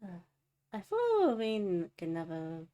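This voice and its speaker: A woman's voice: on the low side, fairly quick, mellow. A woman live streaming a game, casually murmuring short reactions to the dialogue.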